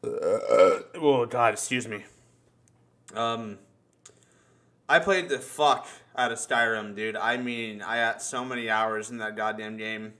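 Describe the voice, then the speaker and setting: A man's voice: lowish voice, slow, a bit bright. Casual, profane guy chatting. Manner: profane, joking. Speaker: podcast host. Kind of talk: casual chat.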